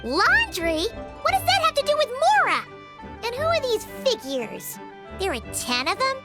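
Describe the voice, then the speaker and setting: A woman voicing a tiny, excitable, naive fairy girl in a video game, with a squeaky, childlike, chirpy voice. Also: moderate pace, loud music behind the voice, heavily acted. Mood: naive, puzzled. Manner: chirpy, speaks of herself in the third person. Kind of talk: cartoonish video game acting.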